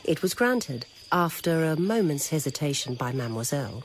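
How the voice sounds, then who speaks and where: A woman narrating a dramatic scripted story in a deliberate, literary manner. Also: deep voice, very animated. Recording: noisy old radio recording.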